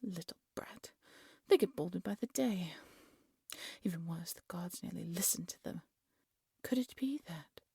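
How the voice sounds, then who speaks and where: A woman's voice: fairly low pitch, lively intonation, measured pace, creaky, mellow, husky, no room sound, studio quality, heavily acted. A woman playing a cruel, haughty evil queen in a fairy-tale audio drama, imperious and theatrical. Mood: bitter, indignant. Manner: imperious, menacing, theatrical commands.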